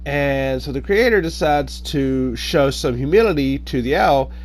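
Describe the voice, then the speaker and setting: A man telling folk tales informally in a halting, hesitant way, often pausing and correcting himself. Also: a little high. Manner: halting, hesitant delivery with frequent pauses and self-corrections. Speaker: online storyteller.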